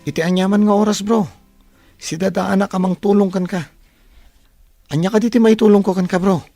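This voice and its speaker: A man's voice: high-pitched, steady tone, a little fast, mellow, no echo, faint music behind. A man acting an eager, loyal young male student in an Ilocano radio drama. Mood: eager, obliging.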